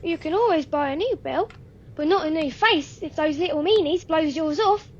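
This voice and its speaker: A woman's voice: very high-pitched, normal speed. A woman playing a plucky, earnest nine-year-old boy in a radio play, with old-fashioned urchin phrasing. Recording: dull, lo-fi, faintly noisy recording.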